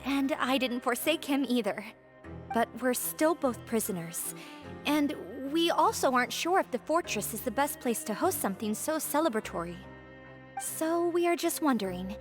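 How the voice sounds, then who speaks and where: Sincere, high-voiced young prisoner. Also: female voice, very animated, moderate pace. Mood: polite, hopeful. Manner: polite, tentative, earnest. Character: sincere, polite young female prisoner in love. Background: background game music.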